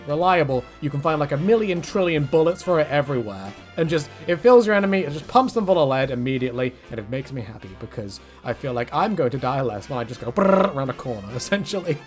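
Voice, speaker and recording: A man casually answering viewer questions in a rambling way, with many fillers and restarts. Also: fairly high pitch.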